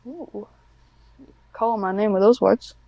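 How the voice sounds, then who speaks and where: A woman's voice: medium pitch, slow, gravelly, dark tone, dry recording, rough recording. A Scottish woman hosting a casual podcast interview and chatting with her guest.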